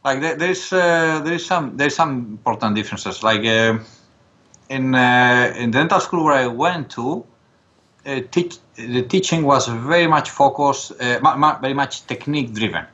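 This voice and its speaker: Man, bright forceful tone, halting conversational answers, interview guest, slightly dull recording. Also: medium pitch. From born in Spain, later lived in Jordan. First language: Spanish. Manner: halting, searching for words. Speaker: interview guest, dental professor.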